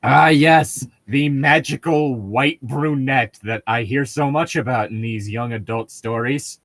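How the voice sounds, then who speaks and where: A man's voice: mid-range voice, measured pace, a bit bright, no room sound. A man reading fan fiction aloud in a casual group, breaking off for sarcastic, nitpicking comments.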